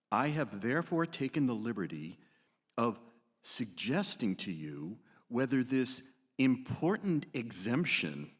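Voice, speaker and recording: An actor playing a solemn eighteenth-century physician, reading a formal letter of appeal in a deliberate, oratorical manner on stage. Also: male voice, mid-range voice. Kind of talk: dramatic live reading of a historical letter. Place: large hall, staged reading. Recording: echoey hall with long reverb.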